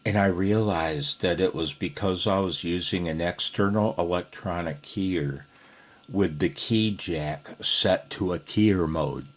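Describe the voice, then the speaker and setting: A man with a distinctly low voice teaches in an informal, rambling way. He takes his time, speaking slowly and thinking aloud. His manner is folksy and a little apologetic, like a hobbyist walking a beginner through the basics. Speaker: amateur radio hobbyist teaching Morse code.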